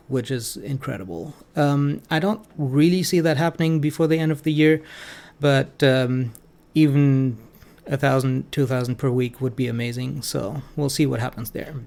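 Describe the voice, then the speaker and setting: A man giving informal, analytical financial commentary as a host, speaking in a halting way with frequent ums. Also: mid-range voice, creaky, darkish tone. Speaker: financial commentator hosting a stock analysis show.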